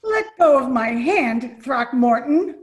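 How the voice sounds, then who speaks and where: Woman doing a prim haughty lady scolding her suitor, old radio comedy, highish and very swoopy. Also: lively intonation, slow pace. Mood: indignant. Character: indignant, principled, haughty lady being courted.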